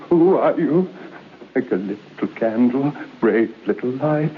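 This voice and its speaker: Through a tinny, hissy old radio recording comes a frightened man losing his mind. His mid-pitched speech is slow, halting and intense, in horror radio style. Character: fearful, unhinged man clinging to sanity.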